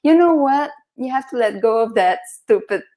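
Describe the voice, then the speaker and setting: A soft-spoken woman hosting a casual interview over a video call, earnest and reflective, laughing often. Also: on the high side, animated, moderate pace. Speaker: host.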